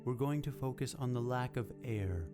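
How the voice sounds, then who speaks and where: A man playing a calm, gentle, deadpan meditation instructor in a guided meditation parody. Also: mid-range voice, moderate pace, close to an everyday voice. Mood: soothing, deadpan. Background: loud new-age music with singing bowls.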